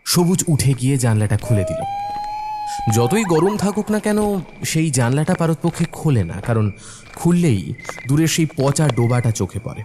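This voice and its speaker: A man's voice: fairly high pitch, sing-song, normal speed, noisy background. A man narrating a scripted horror story as its storyteller.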